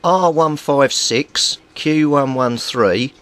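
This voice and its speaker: A man's voice: medium pitch, slow, fairly bright tone. A man giving an informal, methodical workbench explanation as a hobbyist repairer. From Britain. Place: small indoor home workbench. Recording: clearly noisy home recording.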